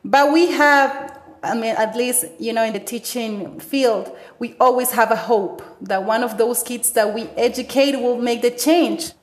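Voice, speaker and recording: Animated Ecuadorian woman, echoey room. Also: fairly high pitch, a little slow, hardly any pauses. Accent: Ecuadorian Spanish. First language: Spanish. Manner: animated, gestures with stories, frequent fillers. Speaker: interviewee, teacher. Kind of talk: conversational interview.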